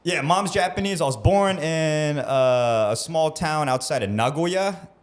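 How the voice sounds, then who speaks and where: A man's voice: a little high, measured pace. A young American man talking as a podcast guest, loose and casual, swearing often and laughing easily. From United States. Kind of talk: casual chat. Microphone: lapel mic.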